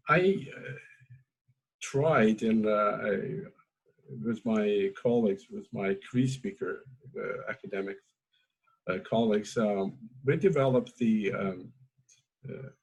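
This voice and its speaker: Hesitant husky man, non-native English. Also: fairly low pitch, slow pace, pauses often, poor audio. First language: Azeri. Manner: hesitant, many fillers and restarts. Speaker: academic host. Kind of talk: informal academic discussion.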